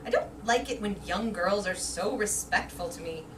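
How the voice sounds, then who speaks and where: A woman's voice: mid-range voice, normal speed, lightly acted. Woman acting a vain, anxious middle-aged wife, distant and roomy. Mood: coy fussing. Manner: theatrical, emotional, exclaiming. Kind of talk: staged reader's theater. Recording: distant roomy stage recording with background noise.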